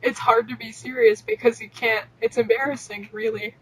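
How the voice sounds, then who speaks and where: A woman's voice: on the high side, fairly even intonation, moderate pace, noisy background. A woman podcast host reading fan fiction aloud and bantering casually, with a giggly, teasing manner.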